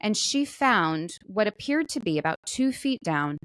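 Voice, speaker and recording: Woman talk show co-host, mid pitch, super dry sound, chatty storytelling, casual. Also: very animated, measured pace, a bit bright, dry recording, low-quality recording. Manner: conversational storytelling with quoted asides. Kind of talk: casual chat, retelling a viral story.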